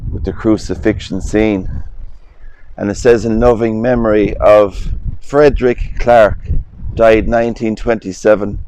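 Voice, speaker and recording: A man with an Irish accent and a hoarse voice, speaking low and smooth. He strolls outdoors among old graves, talking in a gentle, unhurried way with plenty of pauses. His voice sounds close and dry. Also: no echo. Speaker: vlogger. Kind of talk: casual walking vlog, reading headstones aloud.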